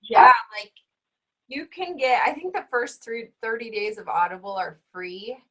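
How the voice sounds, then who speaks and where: A woman hosting a book club, a bit hoarse from being sick, chatting casually with lots of fillers. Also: fairly high pitch, big pitch swings, a little slow, pushed and bright, poor audio. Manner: chatty, with frequent fillers and laughs. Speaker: fitness coach and book club host.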